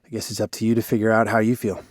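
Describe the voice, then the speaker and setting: Lowish male voice, dry close sound, weary washed-up radio DJ, sardonic, self-deprecating, mystery audio drama, acted by a man. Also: completely flat delivery, very fast, no room sound, close to an everyday voice. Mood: defensive, weary. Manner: sardonic, conversational. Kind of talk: naturalistic audio drama dialogue.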